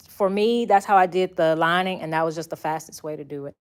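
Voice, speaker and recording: A woman's voice: on the low side, fast talker. Chatty woman demonstrating sewing in an echoey room. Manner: chatty and relaxed, thinking aloud while working; moves around the room, voice nearer and farther from the mic. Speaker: sewing channel host. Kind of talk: casual sewing tutorial livestream. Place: sewing office. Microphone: added mic, camera across the room. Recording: roomy echo, faint background hiss.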